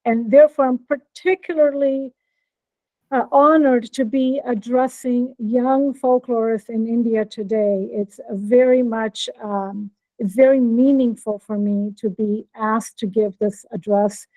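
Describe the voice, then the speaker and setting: A woman's voice: a little high, fairly even intonation, unhurried, warm tone, no room sound, low-quality recording. A woman with an American accent, a professor giving a friendly keynote talk over a video call and checking in with her listeners. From born in Norway, raised in Brazil. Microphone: computer mic on video call.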